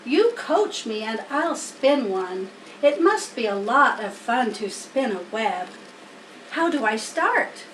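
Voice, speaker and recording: A woman voicing an eager, boastful, chubby young male pig in a storybook, with an excitable, naive manner. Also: fairly high pitch, slow pace, full character voice. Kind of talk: storybook character voice. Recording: roomy, distant mic, faint noise.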